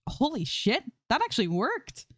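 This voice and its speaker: A woman's voice: high-pitched, huge pitch swings, normal speed, no room sound, clean studio sound, voice pushed up, heavily acted. A woman voicing a bubbly, easily flustered young female streamer in a fan fiction reading, playful and informal. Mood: excited.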